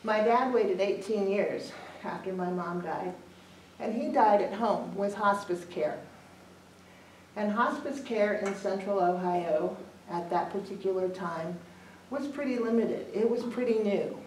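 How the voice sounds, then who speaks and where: Calm middle-aged woman in an echoey room. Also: mid-range voice, a little slow. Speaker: death doula panelist. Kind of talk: personal talk to an audience. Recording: echoey room, far from the mic, some noise.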